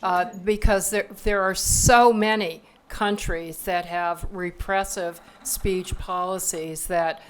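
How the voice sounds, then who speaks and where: A woman on a conference panel, speaking in a measured way and restarting her sentences often. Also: lowish voice, steady tone, clear, resonant tone. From United States. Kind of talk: panel discussion. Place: conference hall stage.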